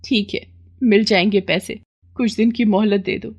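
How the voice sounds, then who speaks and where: A woman voicing a humble poor housemaid and mother, meek and gentle, in a moral story. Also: medium pitch, fairly even intonation, normal speed, breathy edge. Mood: meek, sad.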